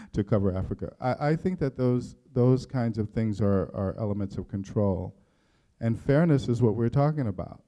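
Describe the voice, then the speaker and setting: A man who works as a news editor, speaking on a panel in a measured, deliberate way. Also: on the low side, dark tone. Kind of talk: panel discussion. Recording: dull lo-fi recording.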